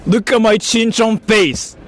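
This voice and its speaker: A man's voice: sits high, measured pace, slight background noise. A man livestreaming a casual rant, incredulous and exclamatory, swearing often as he reacts to criticism. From Japan. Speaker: livestreamer and YouTuber.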